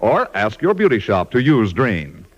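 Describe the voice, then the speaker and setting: Animated American 1940s radio announcer man. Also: a little slow. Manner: polished sales pitch. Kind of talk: 1940s scripted commercial announcing. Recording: dull, lo-fi 1940s radio recording.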